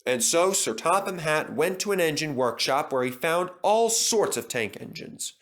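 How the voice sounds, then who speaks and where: Guy narrating a kids story, bright voice with some creak, really animated. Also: a little high, moderate pace. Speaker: storyteller, reader. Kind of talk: scripted children's story narration.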